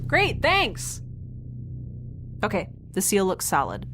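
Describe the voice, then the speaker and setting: A woman's voice: mid-range voice, huge pitch swings, slow, background noise. A woman playing a capable but flustered young spaceship heroine in an audio drama, casual and wry.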